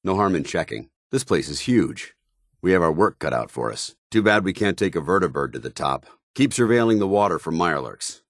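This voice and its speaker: Stern military paladin, low-pitched voice, formal clipped delivery, dutiful and disciplined, video game companion, close dry sound. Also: male voice, big pitch swings, a little fast, dry recording. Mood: matter-of-fact. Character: stern, dutiful armored soldier paladin, grown man, devout and disciplined. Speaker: companion character. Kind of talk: scripted video game dialogue.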